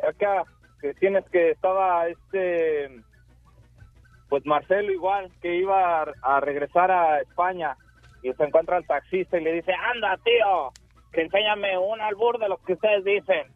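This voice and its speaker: A man on the phone tells a joke in a casual, chuckling way. His voice sits high and comes through thin and dry like a phone line. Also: unhurried, no echo, faint music behind. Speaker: caller.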